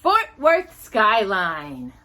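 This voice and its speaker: A woman's voice: medium pitch, wildly swooping pitch, very slow, sharp tone. An American woman from Texas reading a nonfiction children's book aloud as a storytime host, with chatty, informal asides. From Texas, United States. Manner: chatty asides, stumbles over longer words.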